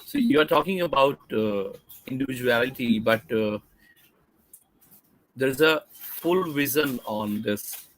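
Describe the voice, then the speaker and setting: A male host talks very slowly. He hesitates through a viewer's question with frequent fillers and restarts. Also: medium pitch, expressive, fairly bright tone, low-quality recording. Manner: hesitant, many fillers, halting phrasing.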